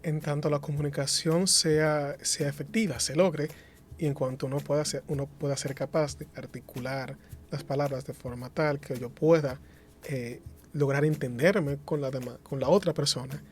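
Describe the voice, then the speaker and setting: Deliberate Dominican man, fairly high voice. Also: steady tone. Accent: Dominican Spanish. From Dominican Republic. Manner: deliberate, restarts phrases. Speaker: podcast panelist. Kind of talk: casual discussion.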